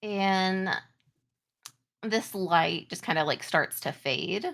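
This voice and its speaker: A woman improvising narration as game master of a tabletop game, halting as she searches for words. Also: mid-range voice, creaky, a bit bright.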